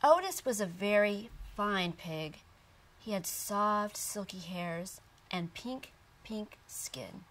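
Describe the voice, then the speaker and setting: Woman reading a kids book, real slow and expressive, a bit away from the mic. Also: medium pitch, slight background noise. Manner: deliberate, expressive storytelling. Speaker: picture book reader. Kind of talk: children's storybook narration. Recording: distant from the microphone.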